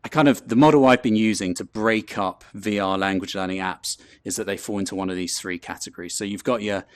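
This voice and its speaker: Chatty London man, low voice. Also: fairly even intonation, fairly quick, no room sound. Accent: London English. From England. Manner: loose and chatty, many ums and restarts. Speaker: host presenting a talk. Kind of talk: casual presentation with filler words.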